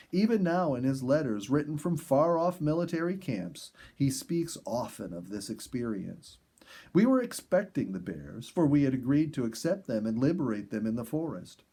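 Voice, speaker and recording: Man, storybook narrator, husky voice, animated intonation, measured and expressive. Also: mid-range voice. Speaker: audiobook reader and host.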